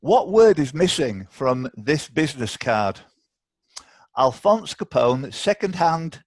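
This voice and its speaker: A man's voice: on the high side, sing-song, takes it slowly, no echo. A man hosting a live online quiz, chatty and encouraging as he reads questions aloud. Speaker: quiz host. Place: online video call.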